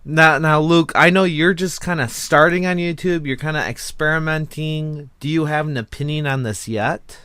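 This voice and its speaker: A man's voice: fairly high pitch, fairly even intonation, moderate pace, hardly any pauses, sharp tone, clean tone, no room sound. Casual male host, dry close sound. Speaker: podcast host. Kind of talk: casual panel discussion.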